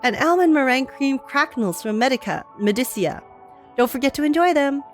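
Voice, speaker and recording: A woman's voice: high-pitched, lively intonation, brisk. A woman streamer playing a video game, reacting casually and reading game text aloud. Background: faint game music.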